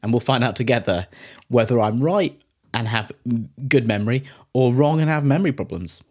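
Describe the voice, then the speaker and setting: A man hosts a reaction video, speaking in a mid-pitched voice. He swears freely and keeps his commentary sarcastic and wry. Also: animated, brisk, darkish tone.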